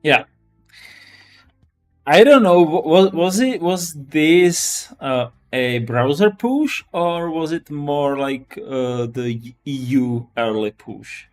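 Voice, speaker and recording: A man's voice: fairly high pitch, expressive, slow pace, darkish tone, soft background music. A man with a Czech accent chatting casually as a live-stream host, often restarting and repeating his phrases. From Czech Republic.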